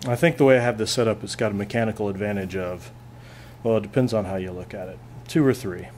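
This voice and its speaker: Guy teacher showing a knot at a lab bench, lowish voice, easygoing, faint hum behind. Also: fast talker. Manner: relaxed, plainspoken, thinking aloud with restarts. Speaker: physics teacher. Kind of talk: hands-on classroom demonstration. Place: classroom lab bench, indoors.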